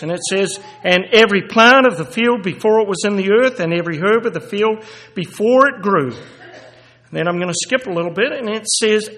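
A man giving a sermon and reading scripture aloud, halting with many fillers and restarts, then growing louder and more emphatic as he reads. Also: high-pitched. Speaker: lay preacher. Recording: echoey hall reverb.

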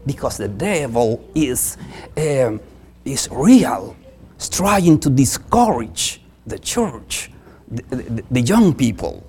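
Visiting preacher, native Spanish speaker with Spanish accent. Unhurried, halting, earnest sermon delivery with wide rises and falls in pitch. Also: very animated, a little mellow, breathy edge. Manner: halting, repeats phrases with earnest emphasis. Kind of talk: church sermon.

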